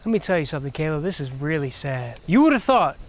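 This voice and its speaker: A man's voice: a little high, very animated, fast talker, a little hiss or hum. An actor playing a righteous young man who speaks in an accusing, cutting way. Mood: bitter. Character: righteous young man named Adam, bitter.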